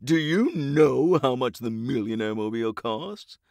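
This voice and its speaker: A man's voice: medium pitch, lively intonation, measured pace, creaky, darkish tone, dry recording, clean studio sound. A man playing a flamboyant, self-important and clueless millionaire superhero in a comedic audio drama, with a theatrical, grandiose manner. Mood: breezy self-importance.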